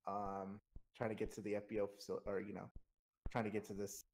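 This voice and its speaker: A man's voice: fairly low pitch, monotone, quick pace, a little mellow, poor audio. A man casually explaining things like an informal coach, walking through examples. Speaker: air traffic controller coaching a flight sim player.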